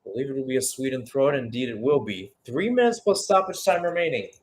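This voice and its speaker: A man's voice: mid-range voice, animated, quick pace, slight background noise. A man giving live radio-style play-by-play commentary on a football match, with exclamatory phrasing and rhetorical questions.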